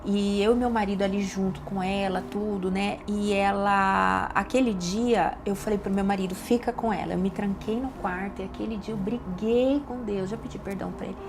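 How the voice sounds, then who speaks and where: A middle-aged Brazilian woman tells a personal faith story on a podcast. She keeps circling back to the same words for emphasis, and her voice sits at an ordinary mid pitch. Clear noise runs under the recording. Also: fairly even intonation, moderate pace, few pauses. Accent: Brazilian Portuguese. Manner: emotional, emphatic storytelling with repetitions. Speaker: doctor and podcast guest. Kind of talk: personal testimony in a podcast interview. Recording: audible background noise.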